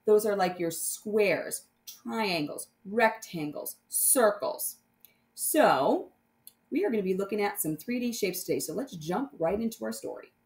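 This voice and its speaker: A woman's voice: medium pitch, expressive, unhurried. A woman teacher reading a picture book aloud and explaining it simply, step by step, for children. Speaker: primary math teacher.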